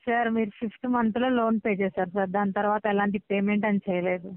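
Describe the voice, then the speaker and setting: A woman calls as a debt collection agent, speaking quickly at a middling pitch. She stays polite and persistent, with halting, restarted phrases. Her voice comes through a narrow, thin phone line. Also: steady tone, dry recording. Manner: polite, persistent, halting, repeatedly says sir. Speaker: loan recovery agent. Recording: thin, band-limited phone call recording.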